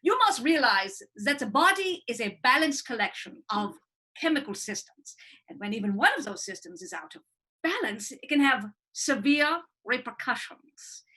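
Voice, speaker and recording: An actress playing a cold, condescending hospital psychiatrist who talks in a clinical way with hesitant fillers. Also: female voice, sits high, big pitch swings, normal speed, pushed and bright, full character voice. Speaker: actor in a livestream script reading.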